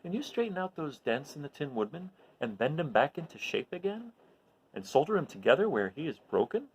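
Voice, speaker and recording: A man's voice: a little high, very animated, brisk, breathy edge, exaggerated voice, low-quality recording. A man voicing an earnest, polite young girl, the caring heroine of a storybook fairy tale. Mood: hopeful, polite.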